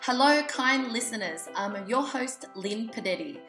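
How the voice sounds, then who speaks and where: A woman's voice: a little high, lively intonation, measured pace, fairly bright tone, echoey room, faint music behind. A woman in her thirties who grew up partly in Vietnam, hosting a podcast with a read intro and a bubbly, laughing chat. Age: 30s. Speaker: podcast host. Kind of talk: scripted intro then casual chat.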